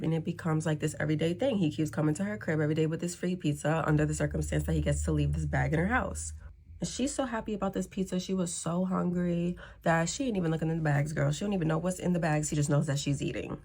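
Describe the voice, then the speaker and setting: A woman with a slightly low voice shares a story and advice in a casual way. She talks in loose slang and plays out both sides of conversations. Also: steady tone, fast, darkish tone, husky. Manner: slangy, conversational, acts out dialogue. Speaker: social media creator.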